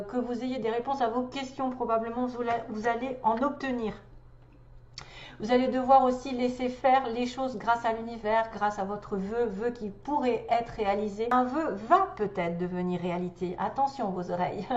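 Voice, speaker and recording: A woman's voice: fairly high pitch, darkish tone, echoey room, muffled recording. Chatty woman with a head cold. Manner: chatty, hesitant fillers, laughs often. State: has a cold. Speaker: tarot reader. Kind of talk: tarot reading guidance.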